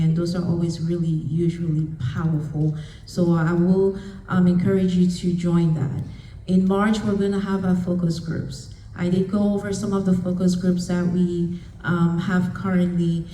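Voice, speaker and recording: A woman organizer announcing upcoming events in a measured way with many fillers. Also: lowish voice, level tone, warm tone. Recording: echoey room, distant mic, faint noise.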